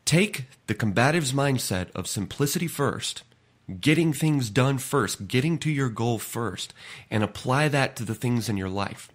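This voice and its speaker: A podcast host with a stuffy, nasal voice from a head cold, giving an earnest, conversational solo talk. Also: male voice, mid-range voice. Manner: earnest, conversational, repeats words.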